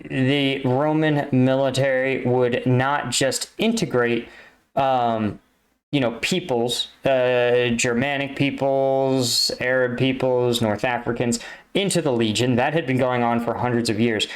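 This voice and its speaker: A man giving off-the-cuff explanatory commentary as a reaction video host, analytical and halting as he searches for words. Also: medium pitch. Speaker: reaction video host, army combat veteran.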